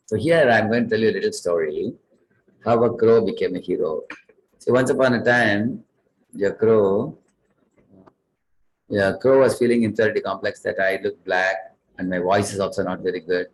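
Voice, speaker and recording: A man's voice: fairly low pitch, steady tone, normal speed, rough recording. A man giving a sermon full of stories, often asking the audience questions and adding "huh?". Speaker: visiting speaker giving a talk. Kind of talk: storytelling sermon.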